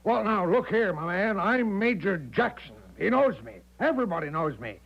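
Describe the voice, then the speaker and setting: An actor playing a big, bluff, overconfident American major who breezily sweeps objections aside in a 1970s radio drama. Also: male voice, sits high, big pitch swings, normal speed, vocal fry, heavily acted. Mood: breezy, insistent. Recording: dull vintage radio recording.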